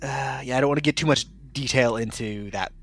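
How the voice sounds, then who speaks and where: A man's voice: medium pitch, brisk, gravelly, a bit bright, soft background music. A man narrating as game master in a casual tabletop game podcast, explaining at length with lots of fillers and restarts.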